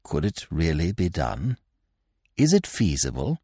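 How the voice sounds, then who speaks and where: A man plays an anxious, henpecked older British uncle in a comic storybook. His voice is fairly low and hushed. He hesitates timidly, like someone afraid of being overheard. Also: sing-song, slow, dry recording, studio quality, full character voice. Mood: timid, rueful. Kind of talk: comic audiobook character reading.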